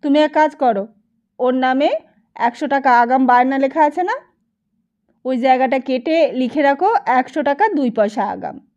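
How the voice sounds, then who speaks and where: A woman's voice: sits high, a little mellow, muffled recording. A woman reading the part of a stingy, suspicious village landlord who gives orders and fires off questions in an audiobook. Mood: bossy, stingy. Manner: peremptory, rapid-fire questioning.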